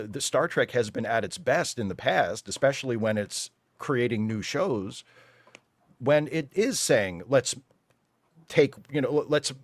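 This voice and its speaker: A man's voice: mid-range voice, no echo. A man giving opinions in a casual panel discussion, emphatic and often rephrasing as he argues. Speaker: panel guest on a live fan show.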